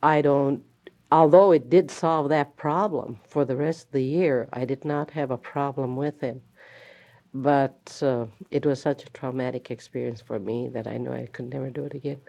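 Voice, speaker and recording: A woman schoolteacher recounting her classroom memories in an interview, speaking in a halting, reflective way. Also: extremely low pitch, fairly even intonation, creaky, mellow. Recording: dull lo-fi recording.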